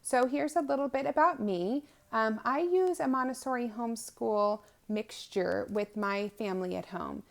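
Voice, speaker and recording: A woman's voice: fairly high pitch, normal speed, gravelly, hardly any pauses. A woman in her thirties giving an informal, explanatory testimonial as a homeschooling mother, talking in a conversational way. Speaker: homeschooling mother presenting a language program.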